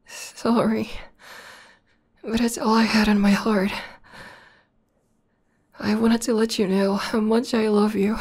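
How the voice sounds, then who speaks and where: A woman playing a tender, lovestruck tomboy demoness in a romantic ASMR roleplay, speaking softly and haltingly with half-whispers. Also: a little high. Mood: tearful, loving. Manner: soft, halting, breathy half-whispers with emotional stammers. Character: tender, lovestruck tomboy demoness, millennia old, newly proposing.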